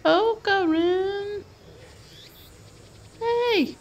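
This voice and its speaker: A man voicing a cheery young girl from a visual novel, calling out in drawn-out words. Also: very high voice, pitched above the performer's own voice, heavily acted.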